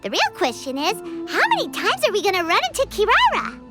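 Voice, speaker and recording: Squeaky, excitable little fairy girl. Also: a little fast, heavily acted. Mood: curious. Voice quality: squeaky, childlike voice. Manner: refers to self in third person, exclamatory. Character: Paimon, small excitable childlike fairy girl companion. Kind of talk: animated video game voice acting. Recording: loud music behind the voice. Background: game music.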